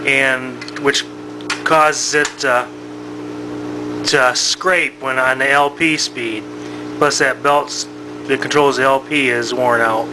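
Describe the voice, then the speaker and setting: A man giving a casual, unscripted demonstration as a hobbyist, talking in a halting, matter-of-fact way. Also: mid-range voice. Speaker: hobbyist showing his vintage radio-phonograph. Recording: noisy home recording with steady hum.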